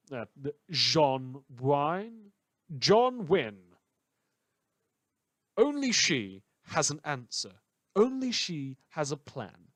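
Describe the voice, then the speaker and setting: A man's voice: on the high side, no room sound, full character voice. A man playing a wry, rambling late-night rural radio host in an audio drama, theatrical and sarcastic.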